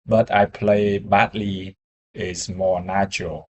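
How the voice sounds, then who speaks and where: A man with a Vietnamese accent talks through English practice in a low, unhurried voice. He speaks very slowly, pauses to hunt for words and often restarts his sentences. Each phrase comes out careful and hesitant. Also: little pitch movement, muffled recording. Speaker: English learner. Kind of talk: conversation practice.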